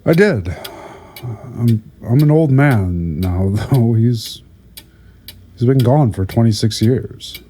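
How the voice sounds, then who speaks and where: A man playing a patient older therapist in an audio drama, hesitant and stammering as he gently probes. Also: medium pitch, lively intonation, takes it slowly, long pauses, breathy edge. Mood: wistful. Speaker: prison therapist. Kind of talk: audio drama acting, conversational.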